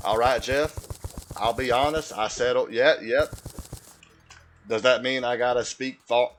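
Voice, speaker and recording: A man voicing a slow, simple, earnest Southern American man with a folksy drawl while chatting on a gaming livestream. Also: medium pitch, fairly even intonation, slight background noise, close to an everyday voice. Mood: folksy, rattled. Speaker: video game streamer. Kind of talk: livestream gaming chat held in a put-on voice. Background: music.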